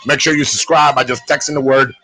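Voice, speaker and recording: A man's voice: mid-range voice, fairly even intonation, quick pace, pushed and bright, faint music behind. A man from Puerto Rico hosting a live stream, chatting informally and addressing listeners like brothers. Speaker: live show host. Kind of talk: casual live-stream chat.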